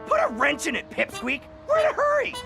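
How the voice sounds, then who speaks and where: An actor voicing a brash, bossy, scheming boy hustler in a cartoon video game, with a gravelly, sarcastic delivery. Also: extremely high pitch, huge pitch swings, fairly quick, heavily acted. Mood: impatient. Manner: sarcastic, wisecracking. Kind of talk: exaggerated cartoon game acting. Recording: loud music behind the voice.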